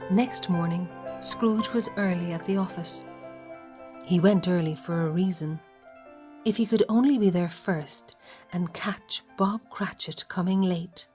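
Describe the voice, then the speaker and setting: An Irish woman narrating a radio play in a measured, softly hushed storytelling manner. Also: lowish voice, faint music behind.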